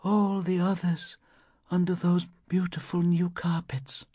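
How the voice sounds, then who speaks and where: A man's voice: mid-range voice, sing-song, moderate pace, husky, dry recording. A man voicing a frail, haunted woman medium in an audiobook, pleading and trembling. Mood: faint, haunted.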